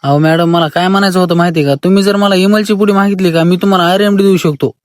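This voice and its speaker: Male caller, rural Maharashtrian accent, quick and high-pitched, evasive, pleading, dry close sound. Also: fairly even intonation, dry recording. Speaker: caller, self-declared fan. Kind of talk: comic phone call.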